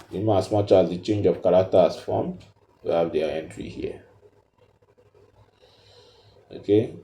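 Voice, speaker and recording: A man giving an unscripted step-by-step tutorial in a halting, repetitive, instructional way, recorded in an echoey room. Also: sits low, mellow. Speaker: trading tutorial presenter.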